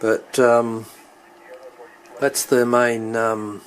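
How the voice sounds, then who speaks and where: A man giving an unscripted hands-on walkthrough of his equipment as a hobbyist, speaking haltingly and thinking aloud. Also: mid-range voice, level tone, low-quality recording.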